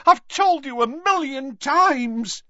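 A man's voice: extremely high pitch, expressive, slow, sharp tone, no room sound, dull audio, pitched above the performer's own voice, exaggerated voice. A man voicing a desperate young woman whose voice is strained and pleading.

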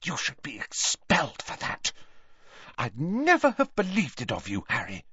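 Storybook role: sharp, bossy teen schoolgirl played by a man, raised pitch, urgent and scolding, slightly muffled recording. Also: huge pitch swings, fast talker, husky, no echo, exaggerated voice. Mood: hissing, indignant. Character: bossy, indignant teenage schoolgirl, earnest and sharp.

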